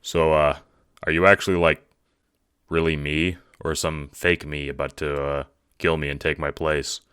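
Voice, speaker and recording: Laid-back, sardonic teen for audio drama, played by a man: very low, deadpan, dry close sound. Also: a little slow, clean tone, no room sound. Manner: slangy, hesitant, deadpan. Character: laid-back, sarcastic teenage cool guy Dave.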